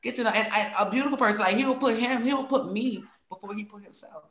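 A woman from New York chatting candidly and casually as a panel guest, expressive and emphatic, often repeating phrases for effect. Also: on the high side, fast talker. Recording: roomy echo.

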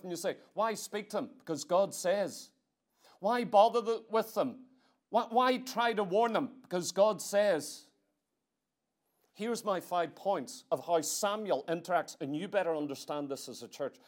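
A man preaching a church sermon in an emphatic, exhorting manner, leaning on repetition and rhetorical questions. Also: high voice.

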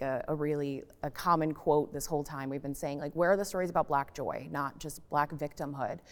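A woman who works as a radio journalist, talking conversationally as a panelist in a discussion. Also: low-pitched. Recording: echoey hall-like reverb.

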